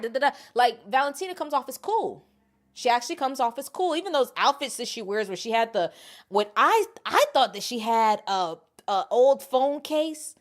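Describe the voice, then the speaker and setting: A woman hosting a commentary channel, talking casually and emphatically to viewers in slangy language, repeating her points and acting out quotes. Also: a little high. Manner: animated retelling, acting out quotes; informal slangy talk with mild swearing. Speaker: host of an MMA commentary channel.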